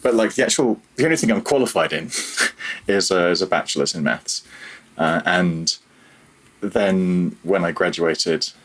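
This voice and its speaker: Very low-voiced man, slightly husky. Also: measured pace, fairly bright tone. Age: 30s. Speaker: podcast guest, audio programmer. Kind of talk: casual interview chat.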